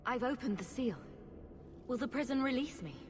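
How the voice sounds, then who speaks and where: Woman as wry, lively rogue heroine. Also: a little high, normal speed, lightly acted. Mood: questioning. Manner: wry, flippant. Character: wry, sarcastic female rogue adventurer hero. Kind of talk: video game character acting. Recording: reverberant hall, music behind.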